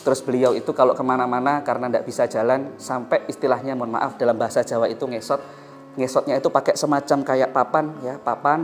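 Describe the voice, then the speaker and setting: Javanese accent guy doing an islamic sermon, storytelling, emphatic n repeats himself, normal mid pitch, music in the back. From Indonesia. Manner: emphatic, repeats phrases and asks rhetorical questions.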